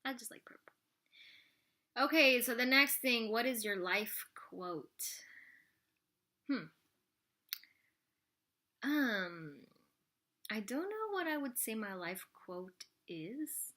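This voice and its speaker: Bright-voiced chatty woman in her thirties. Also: a little high, sing-song, takes it slowly, long pauses. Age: 30s. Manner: rambling, full of "like" and "you know". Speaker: vlogger answering viewer questions. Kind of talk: casual chat to camera.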